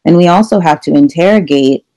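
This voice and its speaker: A woman's voice: deep voice, fairly quick, mellow, low-quality recording. A woman panelist on a video call, speaking earnestly and building a case point by point. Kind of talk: unscripted panel discussion.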